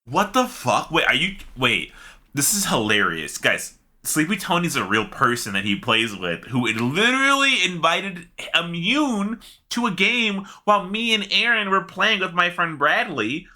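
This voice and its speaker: A man co-hosting a casual gaming chat, animated and slangy, swearing casually as he talks. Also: high voice, unhurried. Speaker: podcast co-host.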